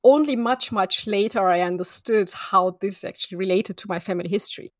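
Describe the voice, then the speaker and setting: A woman's voice: medium pitch, a little fast, dry recording. Guest speaker, female, from Russia, mid-range voice, friendly and chatty with ums, dry recording. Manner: friendly, with frequent ums and light laughs. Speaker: academic guest. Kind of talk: conversational interview answers.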